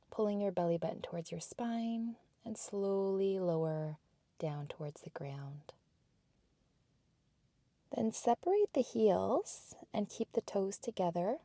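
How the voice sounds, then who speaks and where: A woman instructor giving soft, breathy, measured cues for a guided workout in a calm meditation cadence. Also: mid-range voice, no room sound. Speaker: fitness and yoga instructor.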